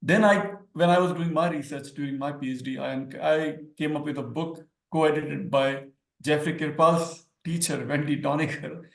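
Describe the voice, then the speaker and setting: A man's voice: a little high, steady tone, normal speed, gravelly, few pauses, roomy sound. A man from India with an Indian accent, a native Hindi speaker, explaining ideas as a scholar guest in an academic discussion and repeating words for emphasis.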